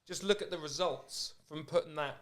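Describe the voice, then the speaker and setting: A man's voice: on the high side, moderate pace, a bit bright. A man hosting a podcast, talking casually, reflective and encouraging. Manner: encouraging, reflective, frequent "yeah" backchannels. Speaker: podcast host. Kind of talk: casual conversation.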